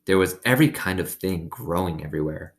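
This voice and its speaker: A man's voice: low voice, lively intonation, fairly quick. A man reading a children's story aloud expressively, with casual asides to his listeners. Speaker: reader reading a children's novel aloud to students.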